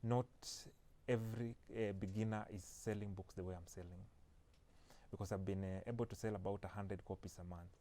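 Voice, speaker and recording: Kenyan male author guest, low voice, halting casual delivery. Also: fairly even intonation, mellow. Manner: hesitant, restarts often, laughs lightly. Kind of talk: casual interview.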